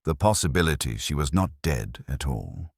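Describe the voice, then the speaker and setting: A man voicing a self-important town councillor, a gossip who confides with solemn excitement. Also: rumbling low, moderate pace, no echo, studio quality, full character voice.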